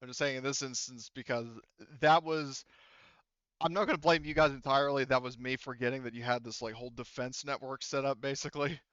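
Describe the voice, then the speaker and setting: Male game master, casual tabletop session. Wry, explanatory delivery, smooth voice, dry and slightly muffled channel. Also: medium pitch, fairly even intonation, brisk, a bit bright, no room sound.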